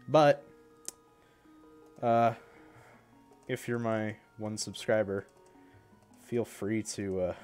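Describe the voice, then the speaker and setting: A young man talks casually to his live stream, sounding stuffy and congested from a cold. He rambles, stops and restarts himself as he talks. His voice sits at a middle pitch, with faint game music playing behind him. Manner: rambling, self-correcting, chatty.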